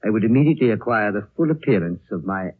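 An actor playing a courteous, discreet elderly lama with formal, measured speech, in 1930s radio drama style. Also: male voice, on the low side, animated, slightly rough. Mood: courtly, measured. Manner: formal, measured, politely evasive. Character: courteous, discreet lama aged over a hundred, looking younger. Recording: thin, narrow old 1930s radio recording.

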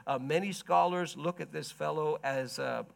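A slightly hoarse man giving a sermon-style Bible history lesson, telling it in a measured, explanatory way and stretching key words. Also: fairly high pitch, no echo.

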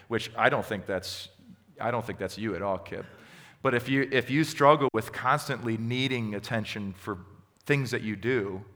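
A man with a lowish voice speaks in a bright, forceful tone. He teaches a church group in a conversational, gently encouraging way. The recording carries the long reverb of a hall. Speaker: pastor leading a group session. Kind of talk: teaching and answering questions.

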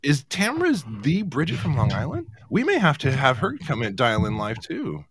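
A man's voice: medium pitch, wildly swooping pitch, normal speed, crowd noise. A man hosting a casual call-in show, joking and chatting with his guests. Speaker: show host.

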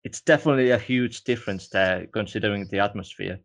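Low-voiced Estonian host. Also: male voice, fast. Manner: relaxed, frequent "you know". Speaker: interview host. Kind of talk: casual interview.

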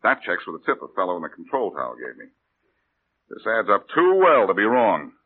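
Lively low-voiced wisecracking detective. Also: male voice, a little fast, slightly rough, heavily acted. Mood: breezy, assured. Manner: breezy, wry, self-assured. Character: confident wisecracking amateur detective hero. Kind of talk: 1940s radio crime drama acting. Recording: noisy tinny old radio recording.

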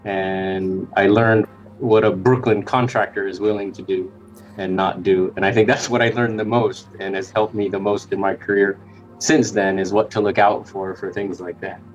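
Low male voice, dull recording. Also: little pitch movement, moderate pace, faint music behind. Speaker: podcast guest. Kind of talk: casual chat.

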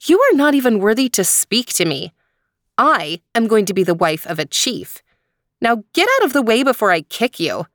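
A woman's voice: mid-range voice, big pitch swings, takes it slowly, fairly bright tone, no echo, studio quality, pitched above the performer's own voice. A woman voicing a proud, rude young elder daughter in a folktale, scoffing and dismissive. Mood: scornful.

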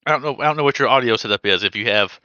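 A man's voice: mid-range voice, racing, pushed and bright, no echo, lo-fi sound. A man co-hosting a podcast, rambling and joking as he gives advice in casual chat. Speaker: podcast co-host.

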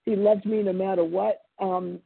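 A woman in her seventies whose first language is Italian, sharing her story at a recovery meeting in a halting, reflective way with many pauses and restarts. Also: medium pitch, level tone, gravelly, breathy edge, no room sound. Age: 70s. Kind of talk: personal testimony at a recovery meeting. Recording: phone-line audio.

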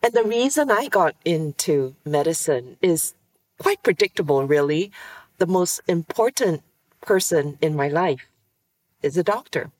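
Woman from Singapore, podcast guest, animated storyteller with a lively, swinging pitch. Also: unhurried. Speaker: guest cardiologist. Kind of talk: podcast interview answer.

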